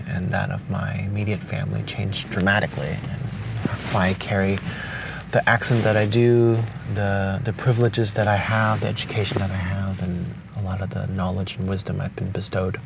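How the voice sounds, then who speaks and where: A young man from Hong Kong with Cantonese as his native language, speaking slowly and thoughtfully with pauses and restarts, recorded outdoors on a beach at dawn. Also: on the low side, steady tone, vocal fry. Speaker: vlogger. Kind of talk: reflective spoken letter. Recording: distant outdoor mic with noise.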